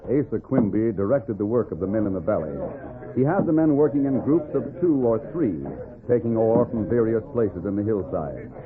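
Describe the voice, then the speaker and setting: A man's voice: lowish voice, lively intonation, moderate pace, crowd noise. Dramatic, swooping male old-radio narrator. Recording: noisy, tinny old radio recording.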